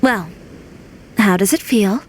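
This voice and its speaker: A woman's voice: medium pitch, slow, background noise, close to an everyday voice. An actress playing a proud, frank young woman designer in a video game, composed and wry. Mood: reminiscing. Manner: composed, wry, self-assured.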